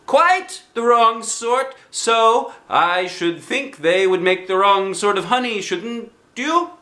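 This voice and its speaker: A man voicing an earnest, anxious, silly old toy bear with solemn, drawn-out phrasing in a children's storybook. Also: high voice, lively intonation, sharp tone, echoey room, voice pushed up, exaggerated voice. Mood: flustered, decided. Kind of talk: children's storybook read-aloud.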